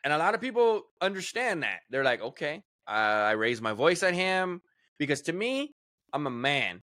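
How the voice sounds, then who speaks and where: A man's voice: a little high, sing-song, moderate pace, pushed and bright, dry recording, clean studio sound. A man hosting a casual podcast chat, emphatic and given to repeating words as he starts a point. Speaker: podcast host.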